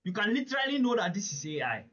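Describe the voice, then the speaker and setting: A man's voice: high voice, big pitch swings, fast, a bit bright, lo-fi sound. A man presenting a live webinar, talking earnestly and emphatically, often turning to listeners with direct questions.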